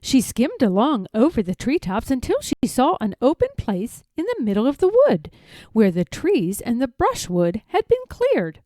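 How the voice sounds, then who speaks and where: A woman narrating a children's story from a script, expressive and careful in her phrasing. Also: a little high, normal speed, no echo. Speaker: storybook reader.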